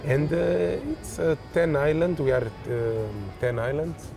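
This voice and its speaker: A young man from Verde, speaking haltingly as an interview guest on an outdoor café terrace. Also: fairly high pitch, gravelly, music in the background. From Cape Verde. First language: Cape Verdean Creole. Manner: halting, searching for words. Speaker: footballer guest. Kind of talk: casual interview in non-native English. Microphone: lapel mic.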